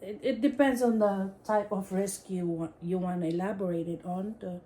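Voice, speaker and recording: A woman's voice: medium pitch, steady tone, moderate pace, mellow, a little hiss or hum. A woman reading game questions aloud and teasing her husband in a casual chat. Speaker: wife asking the game questions.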